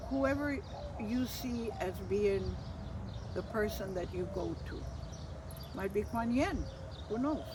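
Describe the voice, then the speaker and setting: Older woman storyteller, distant in noisy outdoors. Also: mid-range voice. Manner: reflective, hesitant, mixes in Spanish phrases. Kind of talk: informal personal storytelling. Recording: distant mic, loud outdoor ambient noise.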